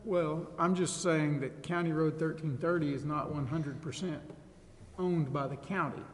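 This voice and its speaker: A man's voice: on the high side, steady tone, normal speed. An American man explaining a point as a council member at a public meeting. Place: large indoor council chamber. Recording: echoey hall, background noise.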